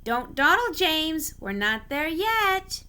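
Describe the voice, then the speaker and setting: A woman's voice: high voice, big pitch swings, slow, bright, forceful tone, pitched above the performer's own voice, heavily acted. A woman voicing a cheerful, sly and confident little male tank engine in a storybook, coaxing and teasing. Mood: brisk.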